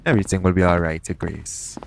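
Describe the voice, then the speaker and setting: Man acting a low-voiced roguish pirate captain. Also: fairly even intonation, fairly quick, gravelly, very noisy. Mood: tender, reassuring. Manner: colloquial seafarer's dialect, speaking tenderly to one listener. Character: roguish pirate captain, protective and gently reassuring. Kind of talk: intimate audio roleplay acting.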